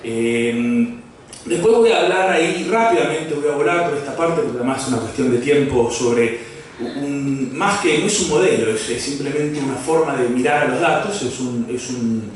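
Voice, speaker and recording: Male lecturer, halting delivery with restarts and jokes, recorded from far off in a big reverberant room. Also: medium pitch, animated. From Brazil. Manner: halting, many fillers and restarts, asides and jokes. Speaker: economics lecturer. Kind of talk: academic talk. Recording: echoey hall from far off, faint noise.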